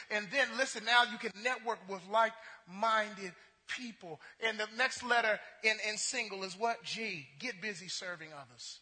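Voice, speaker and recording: A male pastor preaching with a strikingly high, piercingly bright voice. He stammers through restarts and repeats himself, swinging between loud passion and hushed asides. The sound carries the long reverb of a church sanctuary. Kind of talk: sermon.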